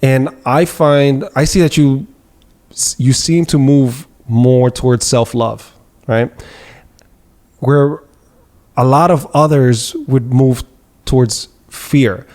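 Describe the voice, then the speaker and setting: A man hosts a podcast in a relaxed, reflective way, asking probing questions. His tone is dark and mellow, at an everyday pitch. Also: slow. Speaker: podcast host. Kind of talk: casual interview conversation.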